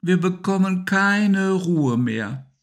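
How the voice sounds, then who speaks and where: Male reader as a gentle small night creature, raised pitch, unhurried and soothing. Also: lively intonation, exaggerated voice. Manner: soothing and patient. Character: calm, gentle male nocturnal animal. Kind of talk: storybook reading.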